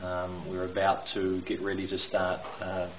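A man's voice: sits low, completely flat delivery, measured pace. Middle-aged man touring an echoey basement. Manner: explanatory, hesitant with fillers. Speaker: renovation contractor. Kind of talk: walkthrough video tour. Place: bare brick basement, indoors. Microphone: handheld camera mic. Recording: echoey, dull lo-fi with faint hiss.

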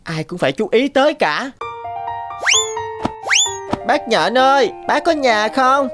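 A woman's voice: a little high, sing-song, slow. A woman voicing a naive, eager young stick insect in a cartoon fable, childlike and earnest. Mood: eager, pleading. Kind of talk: animated fable dialogue. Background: prominent background music.

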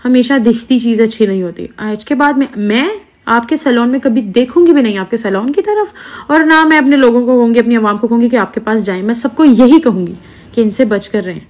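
A woman's voice: on the high side, a little fast, darkish tone. A young woman, talking earnestly and indignantly to her followers into a phone held at arm's length indoors. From Pakistan. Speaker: social media creator. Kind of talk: selfie video complaint to followers.

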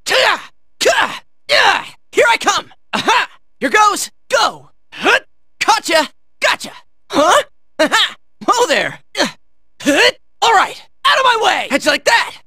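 An American man playing a cocky, excitable teenage boy in a video game, barking out brash, high-strung battle lines. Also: takes it slowly, no echo, raised voice, studio quality, exaggerated voice. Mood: fired-up, cocky.

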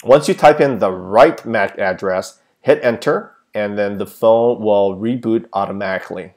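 Low-voiced man explaining a tech setup. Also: a little slow, a little mellow. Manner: plain, methodical, with frequent "uh" fillers. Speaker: tech tutorial presenter. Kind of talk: step-by-step how-to narration.

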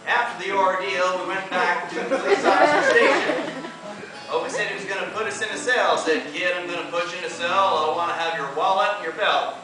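A young man telling a long comic story in a deadpan drawl while strumming an acoustic guitar on a theater stage. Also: high-pitched, fairly quick. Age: young adult. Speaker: student performer. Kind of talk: talking-blues storytelling over guitar. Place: theater stage, live audience. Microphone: far camera. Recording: echoey theater from far off.

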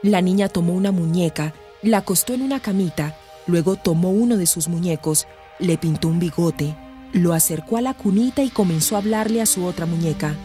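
A woman's voice: on the low side, fairly quick, mellow, close to an everyday voice. A woman narrating a serious radio soap opera from a script. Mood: serious storytelling. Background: music.

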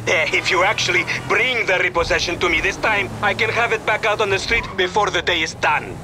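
A man's voice: sits high, fairly quick, music in the background, lightly acted. A male actor playing a scheming, easily offended car dealer in a video game, with a wheedling, sardonic manner.

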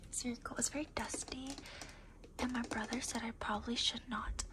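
Raspy whispering young woman. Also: fairly high pitch, fairly even intonation, brisk. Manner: rambling, casual, with many fillers. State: losing her voice. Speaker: ASMR creator. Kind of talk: whispered ASMR desk tour.

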